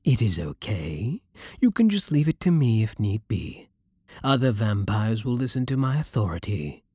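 A British man playing a courtly, possessive vampire king in an intimate ASMR roleplay, silky, formal and commanding with quiet menace. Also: fairly low pitch, dry recording, clean studio sound, full character voice. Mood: quietly menacing. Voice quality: breathy, husky.

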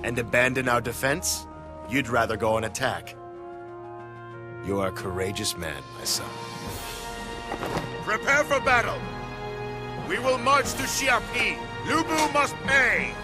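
A man's voice: mid-range voice, lively intonation, a little slow, pauses often, over music, lightly acted. An actor playing a proud, bold middle-aged warlord and father in a video game, declamatory and commanding. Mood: rousing, fierce. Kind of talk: dramatic English video game cutscene acting.

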